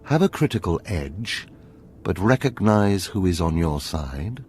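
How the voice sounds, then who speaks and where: A man playing a stern, scheming older overseer in a video game, speaking in a commanding, measured, formal way. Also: low voice, big pitch swings, a little mellow, a little hiss or hum. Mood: grave, instructing. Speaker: game voice actor. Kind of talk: dramatic video game dialogue.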